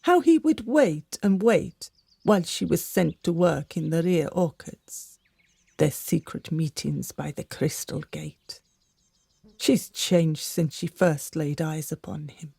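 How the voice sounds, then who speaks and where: A woman's voice: sits low, lively intonation, slow, vocal fry, warm tone, slightly rough, no room sound, exaggerated voice. A woman playing an older gossiping servant in a radio drama, secretive, theatrical and conspiratorial. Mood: confiding.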